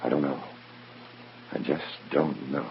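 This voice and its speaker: An actor playing a weary, conscience-torn American undercover agent, narrating in a brooding, clipped hard-boiled style of old radio drama. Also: male voice, very deep voice, fairly even intonation, unhurried, vocal fry, slight background noise, heavily acted. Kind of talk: mid-century radio drama acting, first-person narration. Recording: muffled old radio recording with music.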